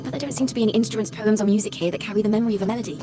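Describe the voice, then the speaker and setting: An actress playing a poised, caring and thoughtful young bard in a video game. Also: female voice, mid-range voice, rapid-fire, over loud music, close to an everyday voice.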